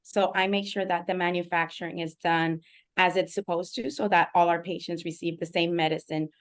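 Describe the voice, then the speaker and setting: Casting: woman, friendly, lively panelist, slightly low voice. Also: fairly quick. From Puerto Rico. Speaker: panelist, principal scientist. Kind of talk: panel discussion.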